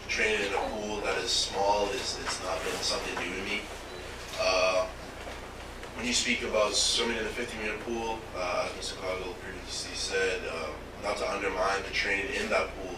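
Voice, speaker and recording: Low, monotone young Bahamian man, echoey. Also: moderate pace. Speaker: competitive swimmer. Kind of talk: press conference answers. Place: press conference in a reverberant space. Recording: echoey room, distant mic, loud noise.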